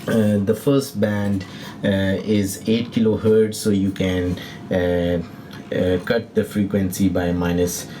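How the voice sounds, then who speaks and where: A man giving a casual, unscripted equipment tutorial as a demonstrator, speaking in a hesitant, halting way with frequent pauses. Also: low voice. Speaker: product demonstrator, video host. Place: indoor room by windows. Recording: noisy room with steady background hiss.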